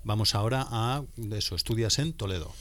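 A man's voice: lowish voice, fairly bright tone, no echo. A man hosting a podcast, joking and teasing his guests. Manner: joking, teasing, rapid-fire. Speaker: podcast host. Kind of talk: casual interview.

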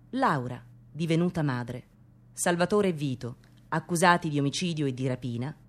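An actress playing a coldly formal, ironic narrator in radio theatre, measured and deliberate, in short phrases. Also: female voice, low voice.

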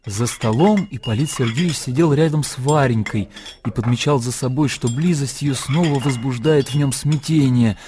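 Male radio-drama narrator over music. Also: medium pitch. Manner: measured, expressive storytelling.

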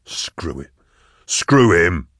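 A man's voice: low voice, sing-song, extremely slow, fairly bright tone, no room sound, heavily acted. Male narrator as cynical hunter, bitter, defeatist, emphatic, clipped phrases, audiobook drama, dry sound. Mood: bitter, resigned. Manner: terse, exasperated, emphatic.

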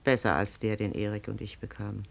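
Radio drama role: older farm matriarch, grave and resolute, deliberate delivery in a low register. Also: female voice, steady tone, creaky. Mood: earnest, confiding. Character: grave, proud older farm mistress, widowed mother-in-law. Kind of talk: 1950s German radio drama acting.

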